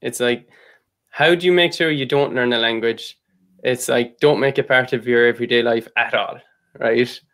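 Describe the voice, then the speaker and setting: A man's voice: mid-range voice, moderate pace. Casual Irish man hosting a podcast. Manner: relaxed, rambling, with many "like" fillers. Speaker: podcast host. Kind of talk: casual conversation.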